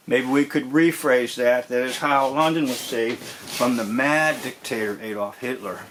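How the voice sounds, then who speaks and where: A man giving informal commentary on a TV episode as host, in a deliberate, drawn-out way that dwells on key phrases. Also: a little high, a bit bright.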